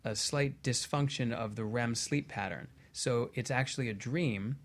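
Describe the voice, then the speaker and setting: A man on a talk show panel, explaining things in a measured, articulate, conversational way. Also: medium pitch.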